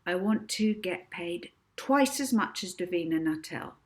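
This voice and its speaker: A woman's voice: fairly low pitch, animated, measured pace. A woman voicing a bossy, confident young girl hypnotist with a demanding, imperious manner in a storybook reading.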